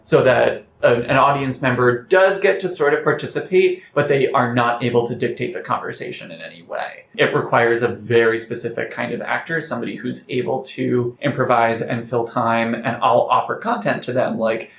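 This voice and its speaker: A man's voice: mid-range voice, moderate pace, creaky, hardly any pauses, fairly bright tone. Articulate man in a roomy, dull recording. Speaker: playwright guest. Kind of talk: conversational interview answers, anecdotal storytelling. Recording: roomy, slightly dull sound with faint noise.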